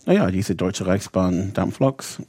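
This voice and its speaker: A man's voice: deep voice, animated, unhurried, warm tone, dry recording, clean studio sound. A man of 59 from England with an English accent, telling his story conversationally in detail, sometimes searching for words. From Bradford, Yorkshire, England. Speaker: interview guest, rabbi.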